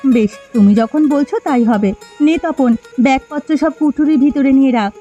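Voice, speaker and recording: A woman's voice: sits high, normal speed, mellow, faint music behind, lightly acted. A woman voicing a patient, practical village mother in a story cartoon, on a dull lo-fi recording. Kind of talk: Bengali moral story cartoon dubbing.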